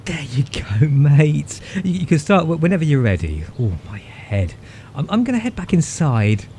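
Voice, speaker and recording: A man's voice: fairly high pitch, big pitch swings, moderate pace, mellow, slight background noise. A man playing a nervous, put-upon sidekick in an audio horror drama, informal and muttering. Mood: groggy, hesitant.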